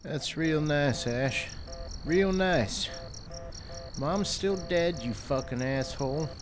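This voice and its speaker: A man's voice: a little high, slow, music in the background, voice pushed up. A man voicing a scornful, fed-up brother in a cowboy hat, biting and sarcastic, in an audio play. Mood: bitter, angry.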